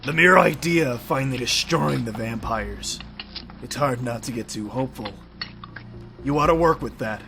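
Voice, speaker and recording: A man's voice: mid-range voice, normal speed, creaky, natural voice. An actor playing a shrewd, folksy, grizzled leader of vampire-hunting outlaws in a fantasy audio drama, needling as he talks. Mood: persuasive. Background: music.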